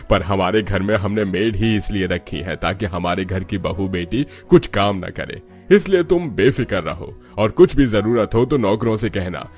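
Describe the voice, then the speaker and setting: A man's voice: on the low side, animated, a little slow, few pauses, soft background music. A man voicing a wealthy, jovial and generous older father-in-law in a cartoon.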